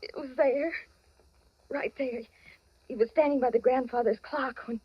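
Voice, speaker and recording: A woman's voice: sits high, steady tone, normal speed, husky. An actress playing a frightened, devoted woman in love with a fugitive, pleading breathlessly in a 1940s radio melodrama. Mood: anxious. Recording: dull, faintly noisy old-time radio recording.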